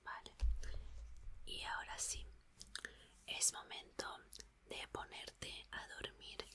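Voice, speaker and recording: A woman doing an ASMR roleplay as a gentle, reassuring scientist, whispering soothingly and softly repeating her words. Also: takes it slowly. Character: gentle, reassuring female scientist repairing an android.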